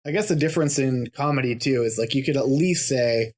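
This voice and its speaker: A man's voice: medium pitch, brisk, a little mellow. Man, podcast guest, mid-range voice, hesitant conversational manner. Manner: hesitant, thinking aloud. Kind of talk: casual podcast chat.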